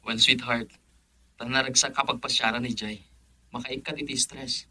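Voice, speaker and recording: A man's voice: mid-range voice, steady tone, moderate pace, bright, forceful tone, breathy edge. A Filipino man playing an easygoing, affectionate young boyfriend in a radio drama.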